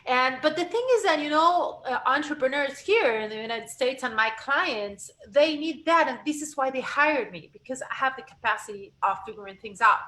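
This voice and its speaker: A woman from Argentina, speaking as a guest voice coach in a lively interview, restarting phrases as she talks. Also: high-pitched, moderate pace, few pauses, low-quality recording. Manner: animated, expressive, frequent self-corrections. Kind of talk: conversational interview.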